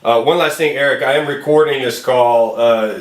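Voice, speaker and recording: A man's voice: medium pitch, steady tone, normal speed, a little hiss or hum. A man speaking casually and encouragingly as a film director, in an informal, conversational way. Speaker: film director casting an actor. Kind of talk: casual phone call and talk to viewers. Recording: roomy, echoey room sound.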